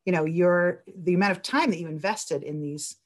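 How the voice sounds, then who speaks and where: A woman's voice: fairly low pitch, normal speed. A woman talking as a writer on a discussion panel, effusive and chatty, wandering into asides as she puts questions.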